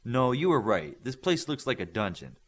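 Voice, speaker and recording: Brisk authoritative male coach, read by a male narrator, instructive, lively intonation, audiobook. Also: medium pitch, slightly rough. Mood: firm, persuasive.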